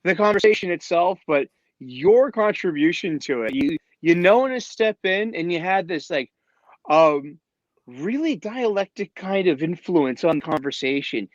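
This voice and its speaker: A man, a guest on a casual livestream chat, who talks in halting bits with many restarts and fillers, heard over a video call. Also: high-pitched, animated, no echo, dull audio.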